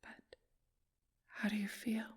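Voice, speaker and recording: A woman playing a calm, knowing ally in an ASMR audio roleplay, whispering with teasing encouragement. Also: slow pace, lightly acted. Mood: wry, encouraging. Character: calm, knowing female ally coaxing someone onward.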